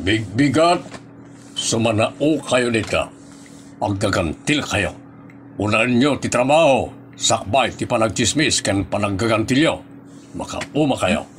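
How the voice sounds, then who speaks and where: Slow-talking man acting in a radio comedy. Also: lively intonation, a little hiss or hum. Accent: Ilocano. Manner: theatrical, swings from bright announcing to low grumbling. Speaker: narrator and voice actor. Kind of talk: Ilocano comedy audio drama.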